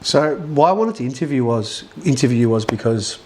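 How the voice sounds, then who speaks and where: A man's voice: medium pitch, lively intonation, moderate pace, darkish tone. A man hosting a podcast interview, upbeat and jokey, chatting casually with his guest. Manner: upbeat, jokey, lots of "yeah". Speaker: podcast host.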